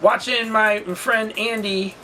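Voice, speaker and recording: High-voiced man, candid storytime monologue. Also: steady tone, sharp tone, soft background music. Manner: halting, candid, rambling. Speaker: storytelling vlogger and channel host. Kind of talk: personal storytime monologue, casual.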